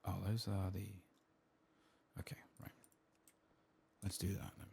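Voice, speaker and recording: A man speaking softly and often whispering in ASMR style as he comments on a game he plays, close to the microphone. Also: low voice, little pitch movement, gravelly, a little hiss or hum. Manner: hushed, reads quest text aloud slowly. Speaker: ASMR gaming streamer narrating a playthrough.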